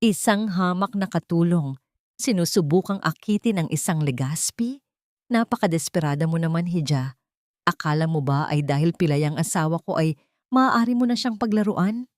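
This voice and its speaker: Woman acting as a scheming villainess wife, wealthy, haughty, menacing, lowish female voice, audiobook melodrama. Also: expressive, moderate pace, a little mellow, no echo, studio quality, close to an everyday voice. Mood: haughty, taunting. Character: cruel, scheming rich wife, venomous and manipulative.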